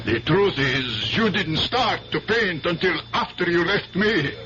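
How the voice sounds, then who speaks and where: Formal, higher-pitched male art professor. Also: lively intonation, measured pace, pushed and bright, exaggerated voice. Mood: courtly, pointed. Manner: ornate, emphatic, formal. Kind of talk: 1970s radio drama acting. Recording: dull, noisy old radio broadcast.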